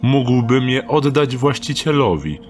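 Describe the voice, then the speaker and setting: A man's voice: big pitch swings, a little slow. An actor playing a weary male thief in a radio drama, speaking curtly and dryly. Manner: curt, dry, low-key. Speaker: thief Dieter. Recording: radio drama with music behind.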